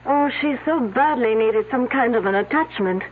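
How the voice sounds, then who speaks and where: An actress playing a young French student with a French accent in a 1970s radio mystery, speaking in careful formal phrases with coy hesitations and a slight air of superiority. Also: female voice, on the high side, moderate pace, slightly rough. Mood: gossipy, cool. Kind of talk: 1970s radio drama acting. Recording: muffled, phone-like old radio broadcast.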